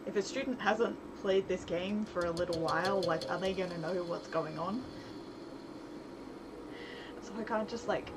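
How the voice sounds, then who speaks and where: A woman hosting a casual livestream, thinking aloud in an informal, rambling way and often interrupting herself as she works. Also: mid-range voice. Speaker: livestream host, writer and game developer. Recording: very noisy live stream audio.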